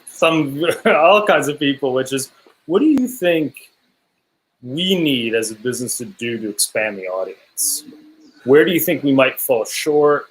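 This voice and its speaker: A man's voice: medium pitch, slight background noise, rough recording. A man hosting an interview, chatty and teasing, easily apologetic. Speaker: interviewer host. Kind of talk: casual conversational interview.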